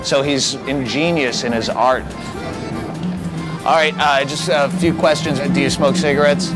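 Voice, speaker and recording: A man in his thirties hosting a prank segment, interviewing couples in a deadpan, mock-serious way. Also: medium pitch, a little slow, music in the background, poor audio. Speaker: prank show host. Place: city street and studio set.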